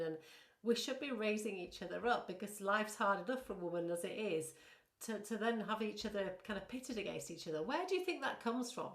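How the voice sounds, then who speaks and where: A woman with a northern English accent hosting a podcast interview, warm and encouraging as she asks questions. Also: medium pitch. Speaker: podcast host. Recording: roomy echo.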